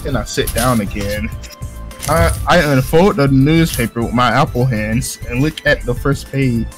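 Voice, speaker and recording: A man's voice: mid-range voice, a little slow. Joking man reading a game aloud over music. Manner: joking, swears casually. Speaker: narrator of a visual novel playthrough. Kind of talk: reading game text aloud with casual banter. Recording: music behind the voice.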